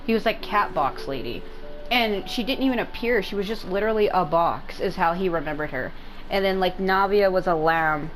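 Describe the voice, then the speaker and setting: A woman's voice: fairly low pitch, moderate pace, fairly bright tone, poor audio. A woman doing casual gaming commentary, rambling and informal with some swearing. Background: game music.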